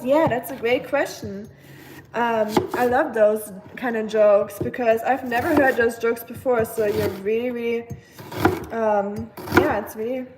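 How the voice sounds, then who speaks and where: A young woman from Germany with a German accent, chatting casually with live viewers while she cooks, joking in a dry, sarcastic way. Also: a little high. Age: young adult. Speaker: cooking streamer. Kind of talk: casual live-stream chat while cooking. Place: home kitchen. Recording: knife chopping noise behind.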